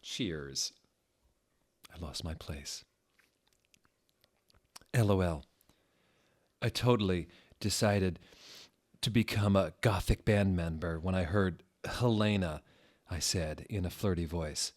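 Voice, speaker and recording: A man narrating a story as a comic dramatic reading, with a theatrical, deliberate, mock-serious delivery. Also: sits low, a little mellow.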